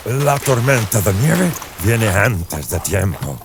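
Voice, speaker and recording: Man acting a slow, fearful old-world coachman. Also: on the low side, sing-song, background noise, full character voice. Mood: foreboding. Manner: slow, ominous, halting delivery in short phrases. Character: frightened, superstitious German coachman, grave and earnest. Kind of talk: dramatized audiobook acting.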